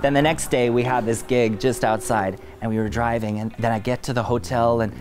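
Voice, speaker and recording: Guy, drag performer, lively storyteller, medium voice, music going in the background. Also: mid-range voice, fairly quick. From South Africa. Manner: animated, expressive storyteller. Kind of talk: casual interview chat. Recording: music playing behind.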